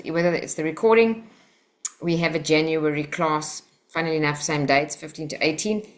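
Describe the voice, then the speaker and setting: A woman's voice: on the low side, fairly bright tone. A South African woman teaching a mind development webinar over a video call, in a direct, encouraging, chatty way. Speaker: Silva Method instructor.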